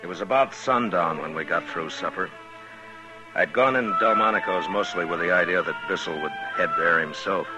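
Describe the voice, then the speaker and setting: An actor playing a calm, weary, stern frontier lawman in a 1950s radio western, terse and authoritative. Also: deep voice, normal speed, lo-fi sound, natural voice. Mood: easygoing. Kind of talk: 1950s radio western acting and first-person narration. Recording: old radio drama recording with music.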